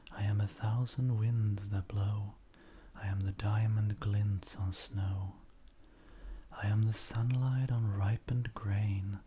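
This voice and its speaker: A man with a soft, breathy, whispering voice, reading poetry gently in an unhurried, measured ASMR style. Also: lowish voice, fairly even intonation. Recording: faint background noise.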